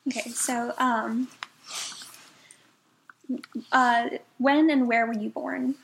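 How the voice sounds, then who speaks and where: A woman's voice: on the high side, steady tone, slow pace, creaky, slight background noise, poor audio. A young woman student interviewing someone for a class, asking hesitant questions with many um and like fillers. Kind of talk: oral history interview.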